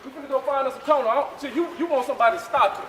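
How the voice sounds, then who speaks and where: A man's voice: extremely high pitch, racing, fairly bright tone. An American man arguing heatedly in a defiant, combative way. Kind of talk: heated argument. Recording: echoey large room, faint noise.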